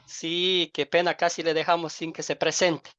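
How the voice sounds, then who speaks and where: A middle-aged man with an Ecuadorian accent, a pastor informally welcoming an online class in a halting way, repeating words and using fond diminutives. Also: a little high, level tone, a bit bright. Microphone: online group call microphone. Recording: dull lo-fi online call audio.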